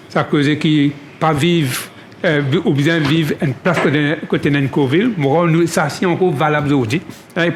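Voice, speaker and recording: Man, slightly raised pitch, spontaneous halting delivery with frequent repetitions, faint noise behind. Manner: halting, repeats words and restarts often. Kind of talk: spontaneous testimony in creole-tinged French.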